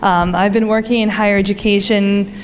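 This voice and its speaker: A woman's voice: mid-range voice, fairly even intonation, brisk, a little hiss or hum. A young woman introducing herself at a conference, upbeat and casual. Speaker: vendor presenter. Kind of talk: conference presentation. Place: large conference hall. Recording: hall reverb.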